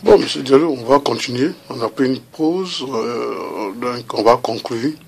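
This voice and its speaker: A man's voice: medium pitch, lively intonation, measured pace, gravelly, a little hiss or hum. A man interviewing on camera, asking pressing questions in a hesitant way full of fillers.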